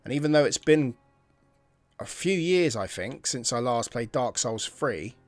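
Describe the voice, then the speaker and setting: A man's voice: on the high side, dry recording. Man chatting over a game. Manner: chatty, thinking aloud, drops to muttering. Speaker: gaming channel host. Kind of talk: casual gameplay commentary. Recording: faint game music behind.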